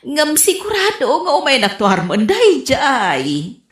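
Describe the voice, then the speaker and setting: An actress playing a coy, lovestruck middle-aged auntie in a comedic radio drama, with giggly, drawn-out delivery. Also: female voice, high voice, wildly swooping pitch, echoey room, heavily acted.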